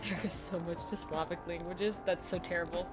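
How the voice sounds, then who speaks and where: A woman's voice: fairly low pitch, steady tone, brisk. A woman streamer in casual chat, rambling and laughing often with faint music behind her.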